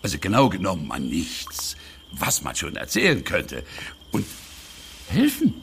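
A man playing a very old, eccentric, secretive inventor who mutters, stammers and bursts out excitedly in a children's audio play. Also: sits low, unhurried, vocal fry, a little hiss or hum, heavily acted. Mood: flustered, evasive. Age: older. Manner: muttering, stammering, erratic outbursts.

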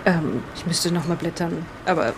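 A woman's voice: on the low side, moderate pace, noisy background, close to an everyday voice. A woman playing an earnest, curious married woman who talks thoughtfully and a little hesitantly in an improvised in-character audio drama. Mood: thoughtful, explaining.

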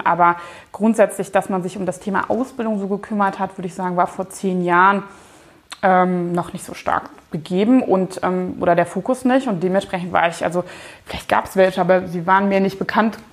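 A woman, giving casual, informal interview answers, often hedging and thinking aloud as she looks back. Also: medium pitch. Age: 30s. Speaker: company founder and managing director, interview guest.

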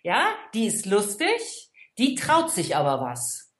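A woman's voice: mid-range voice, huge pitch swings, slow. Emphatic woman coach in an echoey room. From Rhineland, Germany. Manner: emphatic, explains with rhetorical "ja?" checks. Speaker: trainer and coach. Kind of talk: conversational expert talk.

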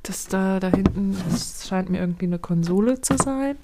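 Woman podcast co-host, chatty and joking, mid-pitched, takes charge. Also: moderate pace, heavy background noise. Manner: chatty, joking, bossy when counting down. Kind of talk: improvised spy role-play chat.

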